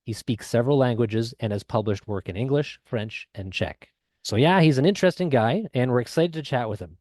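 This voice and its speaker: A man's voice: medium pitch, animated, fairly quick, a little mellow, no room sound. A man hosting a podcast, introducing a guest and asking friendly questions. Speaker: podcast host.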